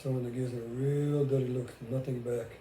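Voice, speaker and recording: A man's voice: mid-range voice, flat delivery, fairly quick, mellow. An older man from Hungary with a Hungarian accent, chatting casually as a vlogger about his own life. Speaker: vlogger sharing relationship experiences. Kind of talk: casual conversational talk. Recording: roomy echo with faint background noise.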